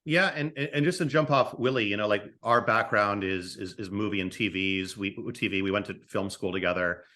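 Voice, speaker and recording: A man speaking as a podcast guest, telling a story casually and haltingly, thinking aloud in short phrases. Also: fairly low pitch, pushed and bright. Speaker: podcast guest, screenwriter and co-creator of an audio drama.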